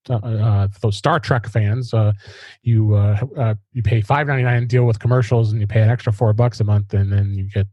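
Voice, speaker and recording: A man hosting a tech news podcast, reading items and commenting in a halting way, often repeating words. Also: medium pitch, a little mellow, dry recording. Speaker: podcast host.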